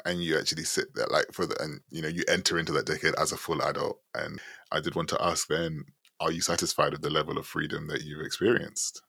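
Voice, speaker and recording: A man in his late twenties interviewing a guest, asking loose, rambling questions in a relaxed way. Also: a bass voice, fast, creaky, slightly rough, no room sound, clean studio sound. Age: 20s. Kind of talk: conversational interview.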